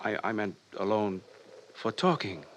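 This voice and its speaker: A man's voice: on the low side, animated, moderate pace, a little hiss or hum, natural voice. An actor in a 1950s-style radio drama playing a nervous, discontented young man, earnest and hesitant, a misfit in his future society. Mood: earnest, irritated.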